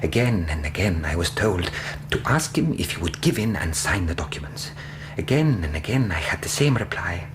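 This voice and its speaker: A man's voice: low voice, sing-song, normal speed, background noise. An actor playing an earnest, fussy middle-aged Greek interpreter, telling his story formally and precisely in a radio drama. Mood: earnest, animated. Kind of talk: radio drama narration and dialogue.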